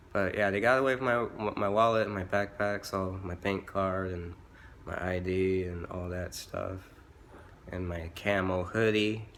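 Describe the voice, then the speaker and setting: A hoarse young man who has been sick, talking haltingly with many fillers and restarts in a casual vlog from home. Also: deep voice, a bit bright, a little hiss or hum. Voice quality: rough-voiced. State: hoarse from illness. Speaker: vlogger.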